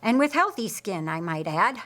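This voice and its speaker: A woman narrator voicing a teasing, reassuring brother in a Bible story, with wry, measured delivery. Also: mid-range voice, big pitch swings, a bit bright.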